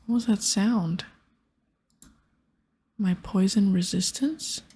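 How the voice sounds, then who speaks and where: A woman hosting an ASMR game playthrough, soft-spoken and breathy, often whispering as she narrates and reads text aloud. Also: mid-range voice, slow. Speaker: ASMR gaming host.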